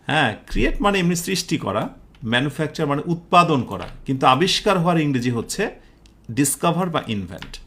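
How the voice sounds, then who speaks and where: Bangladeshi guy teacher doing a live lesson, highish voice, really up and down, keeps checking you get it. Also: a bit bright. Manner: instructive, checks understanding often, slows down for English examples. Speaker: English teacher for university admission students. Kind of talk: live class lecture mixing Bengali and English.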